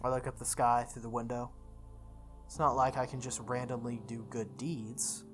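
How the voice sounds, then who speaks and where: A woman's voice: rumbling low, flat delivery, moderate pace. A woman reading visual novel text aloud as a playthrough host, sometimes stumbling and re-reading lines. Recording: music behind the voice.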